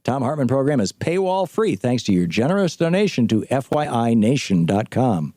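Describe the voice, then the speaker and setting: A man's voice: mid-range voice, big pitch swings, moderate pace, warm tone, slight background noise. A man reading a scripted radio promo as an announcer. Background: music.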